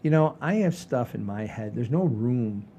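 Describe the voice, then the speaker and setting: An older man hosting a casual podcast, rambling in a folksy, halting way with repeats and the odd mild swear. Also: mid-range voice, lively intonation, dark tone, dull audio, slight background noise. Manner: folksy, mildly profane, halting and repetitive. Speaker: podcast host.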